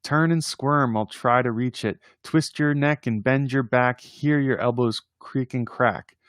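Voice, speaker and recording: A man hosting a casual read-aloud of children's poems, playful and expressive in verse, with informal chatty asides. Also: medium pitch, unhurried, no echo, studio quality. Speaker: host reading poems aloud on a livestream.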